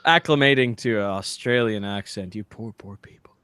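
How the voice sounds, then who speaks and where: A man's voice: lowish voice, normal speed, sharp tone, clean tone, no echo. A man co-hosting a podcast in casual chat, rambling and hesitant, with dry self-deprecating humour. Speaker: podcast co-host.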